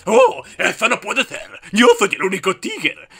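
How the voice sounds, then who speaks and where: A man voicing a bouncy, boastful, exuberant tiger in a cartoon dub, with a gravelly voice and a Castilian Spanish accent. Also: high voice, huge pitch swings, a little slow, fairly bright tone, heavily acted. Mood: boastful, fickle. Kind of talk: cartoon fandub audition.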